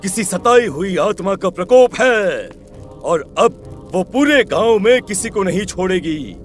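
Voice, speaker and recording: Man playing a slow, ominous village occult holy man. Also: high-pitched, lively intonation, faint music behind. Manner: solemn, ominous. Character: grave village occult holy man giving dire warnings. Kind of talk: dramatised horror cartoon story.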